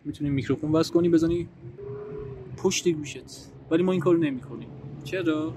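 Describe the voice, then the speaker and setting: A young man talks casually outdoors, joking and bantering as he tests radios with a friend. His voice sits slightly high. A light background hiss is present. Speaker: co-host. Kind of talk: casual vlog chat.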